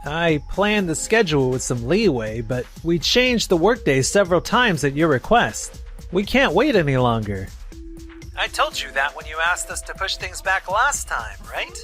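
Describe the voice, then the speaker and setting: A man plays a skilled contractor in a manga dub, earnest and fed up. He argues firmly and reasonably in a lively voice, over faint music. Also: a little high, moderate pace. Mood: firm, frustrated. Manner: earnest, firm, reproachful. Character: conscientious, frustrated skilled male installation contractor, firm and reasonable. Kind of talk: scripted manga dub voice acting.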